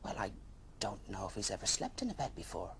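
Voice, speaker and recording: A man voicing a kindly mother dragon in a children's storybook, speaking in a hushed, gentle way. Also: lowish voice, huge pitch swings, fairly quick, a little hiss or hum, voice pushed down. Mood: gentle concern.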